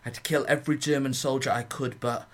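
A man's voice: medium pitch, flat delivery, fast, natural voice. A man playing a haunted young soldier narrating his war in a radio play monologue. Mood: anguished. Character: haunted young soldier recalling trench warfare. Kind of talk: dramatic first-person radio monologue.

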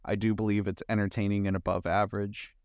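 A man's voice: lowish voice, level tone, quick pace, a little mellow, dry recording. A man co-hosting a podcast, chatting casually as he reviews a movie. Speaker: podcast co-host.

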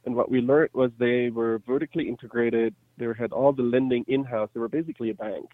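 A man's voice: medium pitch, steady tone, a little fast, dry recording. German-accented man, thin phone-like sound. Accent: slight German. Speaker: interview guest, startup entrepreneur. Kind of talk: casual conversational storytelling.

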